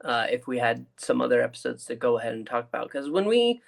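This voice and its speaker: A man's voice: mid-range voice, big pitch swings, fast talker, gravelly. A man co-hosting a casual review chat, giving his opinions with emphasis. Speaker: podcast co-host reviewing audio dramas.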